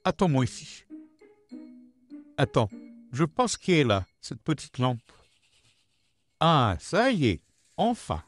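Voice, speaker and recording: A man voicing a friendly, loyal puppet dog in a comic dub, effusive and animated. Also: fairly high pitch, takes it slowly, pauses often, soft background music.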